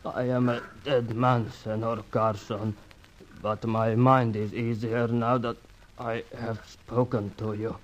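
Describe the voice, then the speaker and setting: A man's voice: mid-range voice, level tone, slow pace, lightly acted. An actor playing a courteous, weary, dying South American man in a radio serial, his breath failing. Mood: fading, resigned. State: breathy, fading. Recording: noisy radio drama recording.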